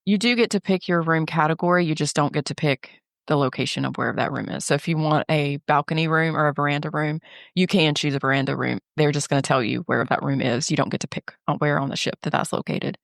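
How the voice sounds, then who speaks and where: A woman's voice: deep voice, fast, creaky, no room sound, studio quality. A woman co-hosting a travel podcast, talking casually while a little stuffy and nasal from a head cold. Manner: runs through lists in a steady, even delivery. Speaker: podcast co-host and travel agent.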